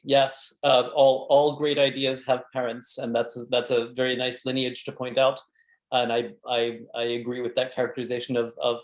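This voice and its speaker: Guy fielding questions after his talk, lots of stops and restarts, roomy echo. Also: mid-range voice. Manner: halting, many restarts and fillers. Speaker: invited speaker.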